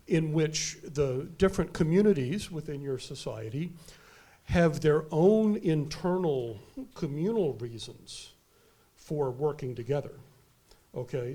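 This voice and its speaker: A man with a loud, emphatic voice giving an unscripted keynote talk at a conference. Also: on the high side. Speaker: keynote speaker. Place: conference hall.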